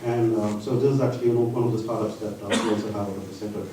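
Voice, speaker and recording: A man presents his research slides at a conference, pausing and restarting often as he goes. His tone is dark and mellow. The sound comes from well back in a large, reverberant hall with a faint hiss. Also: lowish voice, flat delivery, recorded from a distance. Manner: halting, with frequent pauses mid-sentence.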